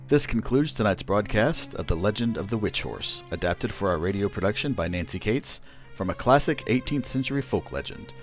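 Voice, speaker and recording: A man's voice: lowish voice, expressive, a little fast. A man reading a scripted radio broadcast announcement as the announcer. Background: music.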